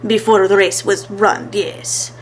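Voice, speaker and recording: A woman voicing a formal, deliberate male professor, a slippery showman in a children's storybook. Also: mid-range voice, level tone, a little hiss or hum, natural voice. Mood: commanding, deliberate. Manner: deliberate, formal, tags sentences with "yes".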